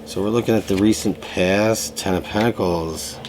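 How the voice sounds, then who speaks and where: A man giving a casual tarot reading to camera, slightly hoarse from a lingering cough, talking in a loose way with many fillers. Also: on the low side, normal speed, noisy background. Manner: relaxed, loose, full of fillers and restarts. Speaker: tarot reader. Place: room at home.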